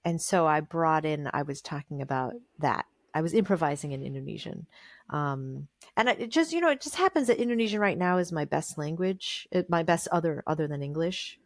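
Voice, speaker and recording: A woman's voice: low-pitched, expressive, brisk, no room sound, studio quality. A woman being interviewed as a guest musician. She talks in a thoughtful, meandering way, with many fillers and restarts. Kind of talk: casual interview conversation.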